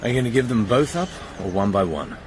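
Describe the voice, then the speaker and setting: An actor playing a suave, probing British secret agent in a radio drama, husky and gravelly, with a cool, dry, flirtatious manner. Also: male voice, mid-range voice, animated, fast talker, slight background noise, lightly acted.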